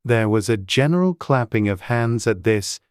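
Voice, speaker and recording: An audiobook narrator telling a dramatized storybook tale. The voice sits at a middling pitch, and the recording is close and dry, free of echo. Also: lively intonation, moderate pace, clean tone.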